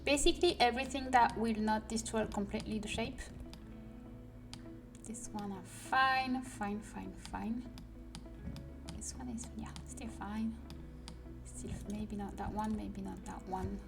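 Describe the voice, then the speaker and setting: French-accented woman muttering softly, far off. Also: medium pitch, fairly even intonation, a little slow, vocal fry. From France. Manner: muttering to herself, often dropping to a whisper. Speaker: livestreamer. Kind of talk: casual livestream while working. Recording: distant mic with music behind.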